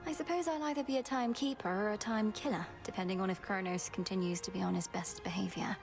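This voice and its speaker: A dutiful young princess of the underworld, voiced by a game actress, earnest and composed. Her medium-pitched voice has a marked gravelly fry and formal phrasing, with faint music behind. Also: steady tone, quick pace, big-room sound, lightly acted. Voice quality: gravelly creak. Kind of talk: video game dramatic acting.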